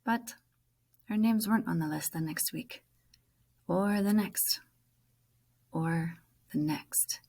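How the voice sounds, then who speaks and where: A woman's voice: lowish voice, takes it slowly, long pauses, darkish tone, breathy edge. Woman reading a children's novel dramatically. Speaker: teacher reading a book aloud. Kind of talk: expressive scripted narration of a children's novel.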